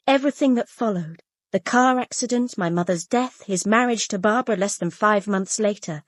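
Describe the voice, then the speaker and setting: An actress playing a wary, reflective young British woman in a radio drama, speaking in a measured, serious way. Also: medium pitch, a little mellow, dry recording, studio quality, close to an everyday voice. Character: wary young woman, a minister's daughter, reflective and quietly challenging. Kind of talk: radio drama acting with first-person narration.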